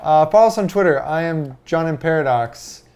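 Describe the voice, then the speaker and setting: A man's voice: on the high side, expressive, moderate pace, a little mellow. A man hosting a show in a casual, conversational style. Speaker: show host. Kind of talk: casual chat.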